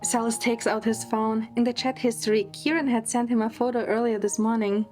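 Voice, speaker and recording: A woman's voice: a little high, flat delivery, fairly quick, a little mellow, soft background music. A woman narrating a scripted first-person romantic story in an audio drama.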